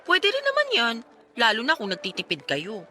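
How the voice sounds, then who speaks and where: A woman's voice: fairly high pitch, huge pitch swings, fairly quick, bright, forceful tone, heavily acted. A woman acting as a sensible, frank young woman in a radio drama, speaking in an earnest, conversational way. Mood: questioning, teasing.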